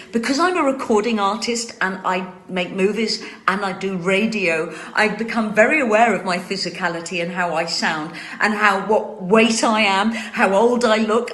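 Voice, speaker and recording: Woman in her sixties, crisply spoken. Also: medium pitch, a bit bright, roomy sound. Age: 60s. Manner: carefully trained, crisp articulation. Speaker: host answering viewer questions. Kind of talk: casual chat. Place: home room.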